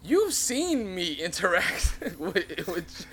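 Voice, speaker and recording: Higher-voiced young man, loose casual talk. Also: big pitch swings, slow, a bit bright, a little hiss or hum. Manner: loose, profane, laughs often, trails off. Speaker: podcast co-host. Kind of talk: casual chat.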